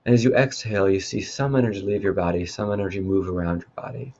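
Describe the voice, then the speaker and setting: A man leading a guided meditation in a calm, unhurried, instructive way. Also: low voice, dull audio, a little hiss or hum. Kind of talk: guided meditation, spoken softly to listeners.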